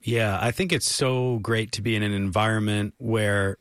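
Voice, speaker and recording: A man's voice: fairly low pitch, fairly even intonation, moderate pace, smooth, no echo, clean studio sound. Effusive American male radio host. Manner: effusive, encouraging. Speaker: radio show host and interviewer. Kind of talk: public radio music interview.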